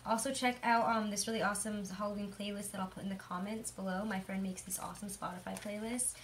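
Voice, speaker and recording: A young woman with a hoarse voice giving a chatty, rambling tarot reading, full of fillers. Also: mid-range voice, steady tone, fast, slight background noise. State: hoarse from illness. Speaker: tarot reader. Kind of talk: casual tarot reading monologue.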